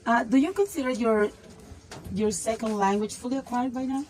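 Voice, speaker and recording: A woman's voice: on the high side, moderate pace, darkish tone. A woman interviewer reading questions and thanking the guest politely.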